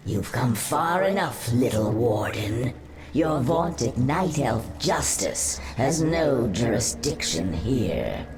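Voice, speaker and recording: An actress playing an ancient, menacing female Naga sea witch in a video game, condescending and silky in her drawn-out delivery. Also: extremely low pitch, sing-song, heavily acted. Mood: haughty menace. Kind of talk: dramatic video game voice acting.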